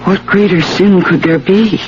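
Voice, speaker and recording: An actress playing a guilt-ridden village woman in a 1970s radio drama, solemn and imploring, with halting, insistent delivery. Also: on the low side, fairly even intonation, darkish tone, slight background noise, lightly acted. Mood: pleading. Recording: muffled vintage radio broadcast, prominent music.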